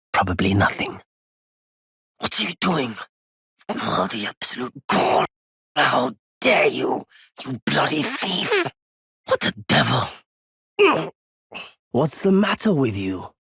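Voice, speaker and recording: An actor playing a wary, proud nomadic merchant in a video game, with a husky, gravelly voice and a weighty, theatrical delivery. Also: male voice, medium pitch, huge pitch swings, takes it slowly, long pauses, sharp tone, no room sound, clean studio sound, exaggerated voice. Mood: wary, mild, then indignant. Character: wary, courteous nomadic male merchant turned bitter and vengeful. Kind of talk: scripted game character lines.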